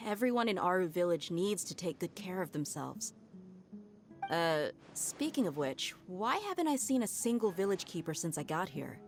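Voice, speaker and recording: A young mercenary woman with a lowish voice in a video game. She is cocky, casual and quick to temper, with light music behind her. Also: sing-song. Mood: mild concern. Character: brash, hot-tempered young female mercenary with swagger.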